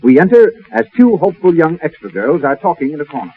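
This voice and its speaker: Fast, high-pitched 1930s radio narrator, male. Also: sing-song, slightly rough. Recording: dull, slightly noisy 1930s radio broadcast.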